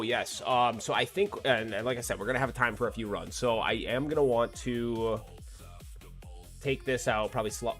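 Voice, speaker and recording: A man's voice: mid-range voice, fairly bright tone. A man with a slightly nasal voice chatting casually with viewers while he plays a game, swearing freely. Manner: laid-back, swears freely, talks to chat. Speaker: gaming streamer. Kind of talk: casual live-stream chat while playing.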